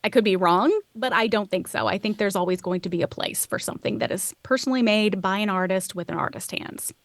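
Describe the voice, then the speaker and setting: A woman's voice: mid-range voice, a little fast, dry recording. A woman giving reflective, conversational interview answers, thinking aloud and questioning herself as she goes. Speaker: podcast host as guest expert.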